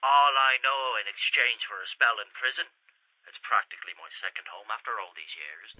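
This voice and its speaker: An actor playing a stubborn, threatening English ex-convict in a radio mystery, blunt and insistent, through a telephone filter. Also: male voice, medium pitch, lively intonation, brisk. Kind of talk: radio mystery drama acting. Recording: tinny, narrow phone line.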